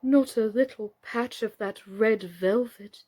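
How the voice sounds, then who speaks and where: A woman reading a storybook, voicing a fussy, anxious gentleman doll with a plaintive, halting delivery. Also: on the high side. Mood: regretful.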